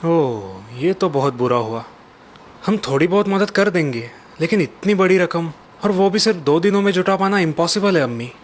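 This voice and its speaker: An actor as a concerned young husband. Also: male voice, fairly high pitch, expressive, normal speed. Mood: worried. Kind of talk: children's cartoon story voice acting.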